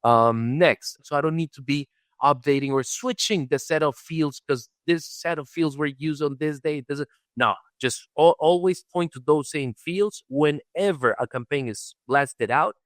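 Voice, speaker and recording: A man's voice: on the high side, measured pace, no room sound, clean studio sound. A man hosting an interview podcast, speaking conversationally and methodically as he recaps things point by point. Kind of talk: conversational summarizing review.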